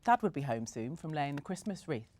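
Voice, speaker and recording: A woman reading a story aloud in a gentle, measured way. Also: deep voice, very animated. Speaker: story reader. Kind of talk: storybook narration.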